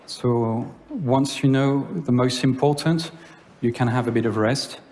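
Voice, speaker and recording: Slow-talking Frenchman in a hall. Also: mid-range voice, darkish tone. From France. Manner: hesitant, many filler sounds. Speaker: conference speaker. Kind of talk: conference talk. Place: lecture hall. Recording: long reverb of a hall.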